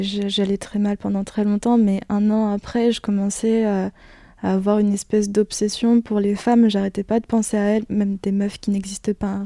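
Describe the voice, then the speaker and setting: A woman's voice: mid-range voice, warm tone, no echo, studio quality. A woman in her twenties telling her own story in a candid interview, hesitant, with many fillers and restarts. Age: 20s. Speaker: interviewee giving a personal testimony. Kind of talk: candid personal account.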